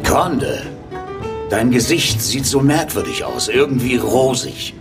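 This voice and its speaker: A man's voice: mid-range voice, takes it slowly, over loud music, lightly acted. A man playing a huge, jovial and crude police chief, joking and chummy, in a German radio drama. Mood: teasing.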